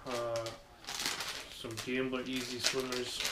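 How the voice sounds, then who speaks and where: A man's voice: mid-range voice, takes it slowly. A young man hosting a fishing channel, talking casually with lots of fillers as he unboxes gear in a small dim living room, recorded by a camera across the room. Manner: relaxed, rambling with many fillers. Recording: echoey room with background noise.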